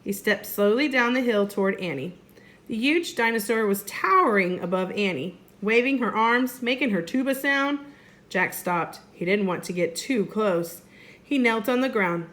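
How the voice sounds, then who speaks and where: A woman, a first grade teacher, reading a storybook aloud expressively and deliberately for young children. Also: mid-range voice, lively intonation, a bit bright.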